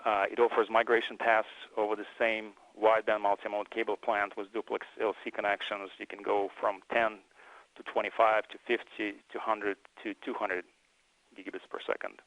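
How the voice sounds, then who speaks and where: A man presenting technical material as an engineer at a conference, speaking haltingly with frequent hesitations. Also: fairly low pitch, little pitch movement, a bit bright, no room sound, poor audio.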